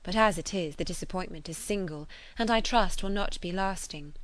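A woman's voice: lowish voice, moderate pace, fairly bright tone, natural voice. Woman playing an indignant, proud young lady. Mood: affronted, cool. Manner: formal, emphatic, affronted. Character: indignant, proud, well-bred young lady rebuffing a suitor. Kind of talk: dramatised classic novel reading.

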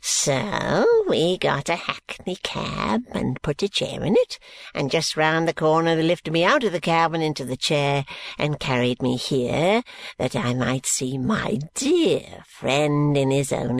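A reader voicing a frail, wheezing old man in a storybook, slow and fawning. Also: mid-range voice, big pitch swings, vocal fry, no echo, heavily acted. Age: over 80. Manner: fawning, ingratiating.

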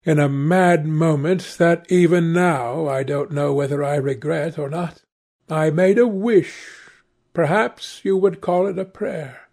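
A vain young gentleman, played by a male audiobook reader speaks slowly in a raised, husky voice. He is bitter, passionate and accusing, with theatrical intensity. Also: fairly high pitch, steady tone. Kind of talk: dramatic audiobook character voice.

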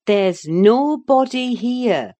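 A woman's voice: on the high side, very animated, slow pace, warm tone, pitched above the performer's own voice, full character voice. A woman voicing a sensible female servant, firm, reasoning and chiding in a storybook reading.

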